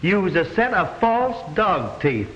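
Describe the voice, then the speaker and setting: A high-voiced, animated police detective out of a vintage mystery picture. He is brash and snappy, quick to wisecrack and easily exasperated. The old soundtrack has some background noise. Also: dull audio, exaggerated voice. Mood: urgent, explaining. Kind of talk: 1930s-40s mystery film acting.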